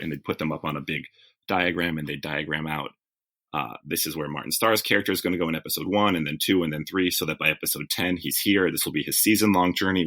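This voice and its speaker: A man's voice: extremely low pitch, sharp tone. A man being interviewed as a TV writer, telling stories in a reflective, self-correcting conversational way. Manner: reflective, self-correcting, with frequent "like" and "you know". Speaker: guest, TV writer.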